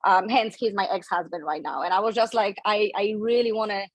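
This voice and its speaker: A woman in her thirties with a Polish accent, talking as a guest on a podcast. She tells her story in a chatty, open way, at a medium pitch. The sound is dry and close, with no room echo. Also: steady tone, brisk. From Poland. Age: 30s. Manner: chatty, candid, laughs between phrases. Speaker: guest, online fitness coach.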